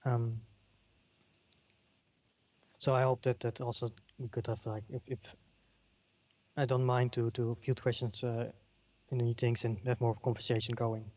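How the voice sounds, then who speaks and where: A middle-aged man with a Dutch accent gives a keynote, pausing often with ums and uhs. His voice sits at an ordinary middle pitch. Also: little pitch movement, mellow. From Netherlands. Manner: halting, many ums and uhs. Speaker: keynote speaker. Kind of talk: conference keynote in a virtual world.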